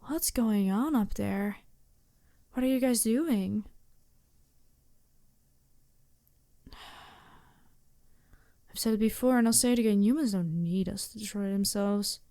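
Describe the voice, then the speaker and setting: Slow, soft-toned regal demon princess, played by a woman. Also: mid-range voice, dry recording, studio quality, natural voice. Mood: exasperated. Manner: deliberate, softly commanding, measured. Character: regal female demon princess, poised, imperious yet compassionate. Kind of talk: intimate ASMR roleplay.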